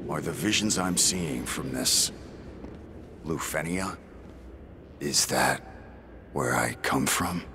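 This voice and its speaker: A man playing a brooding, blunt warrior in a video game cutscene, gravelly and terse. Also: a bass voice, slow, pauses often, noisy background, full character voice. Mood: troubled, searching. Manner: terse, brusque. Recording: music under the dialogue. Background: music.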